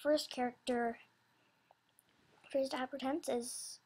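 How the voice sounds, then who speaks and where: Female creator, higher-pitched but breathy, casual and scatterbrained, halting delivery for an off-the-cuff audition announcement. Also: fairly even intonation, a little mellow. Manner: halting, scatterbrained, self-interrupting. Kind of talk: casual unscripted rambling announcement.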